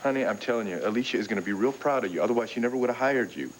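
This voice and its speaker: A man's voice: mid-range voice, racing, a little hiss or hum, close to an everyday voice, poor audio. An actor playing a cheerful young husband who keeps reassuring his wife. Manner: cheerful, encouraging, lively. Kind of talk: daytime soap acting.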